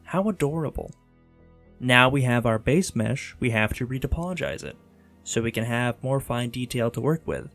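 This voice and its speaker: A man's voice: mid-range voice, very animated, normal speed, fairly bright tone. A man narrating his own creative tutorial video casually, with playful, theatrical, comedic delivery. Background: background music.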